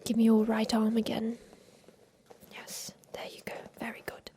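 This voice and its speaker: A woman playing a tender, ailing inventor of a mechanical creature in a soft, whispered ASMR roleplay. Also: on the high side, monotone, background noise, close to an everyday voice. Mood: gently fussing. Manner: slow, gentle, soothing, with pauses.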